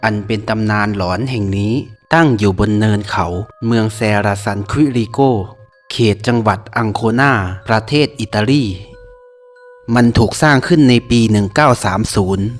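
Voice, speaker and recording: A man's voice: fairly low pitch, normal speed. A man narrating a scripted history of a haunted place. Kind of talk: scripted documentary-style narration. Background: music.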